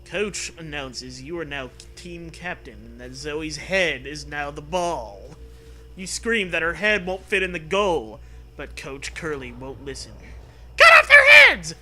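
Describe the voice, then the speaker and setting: A man reading a comic storybook aloud with animated, profane casual banter. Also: a little high, a little slow, faint music behind. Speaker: reader of a comic choose-your-own-adventure book.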